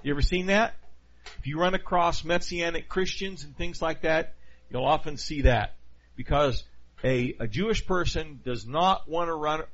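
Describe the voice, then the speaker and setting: A man's voice: a little high, normal speed, fairly bright tone. A man preaching a teaching sermon in a conversational way, often putting questions to his listeners. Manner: conversational, asks the audience questions. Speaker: pastor. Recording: dull lo-fi sound.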